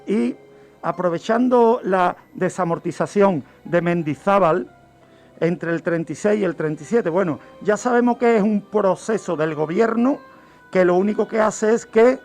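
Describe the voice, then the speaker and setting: A man's voice: high-pitched, darkish tone. A man telling historical events on the radio in a didactic way, asking questions and answering them himself. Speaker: guest criminologist telling crime history. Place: radio studio. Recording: music bed behind the voice. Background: background music.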